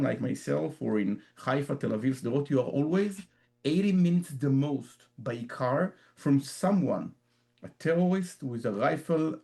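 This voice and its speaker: A man's voice: mid-range voice, vocal fry, warm tone. A man in his thirties with an Israeli accent, answering interview questions as a guest author, often repeating words and restarting his sentences. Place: Jerusalem.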